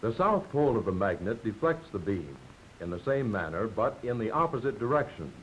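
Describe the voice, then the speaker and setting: An American man narrating a 1940s instructional film from a script, explaining in a measured, didactic way. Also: very animated. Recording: dull, noisy 1940s film soundtrack with rumble.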